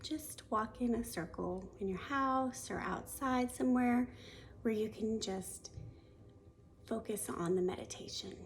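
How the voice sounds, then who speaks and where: Slow, creaky-voiced woman guiding meditation. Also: on the high side, a little hiss or hum. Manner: soothing, unhurried, gently instructive. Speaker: yoga and meditation teacher. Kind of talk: guided meditation.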